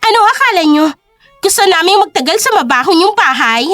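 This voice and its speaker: A woman playing a haughty rich elder sister who sneers and shouts insults in an audio drama. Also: very high voice, big pitch swings, brisk, no room sound, faint music behind, voice pushed up, heavily acted. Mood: sneering.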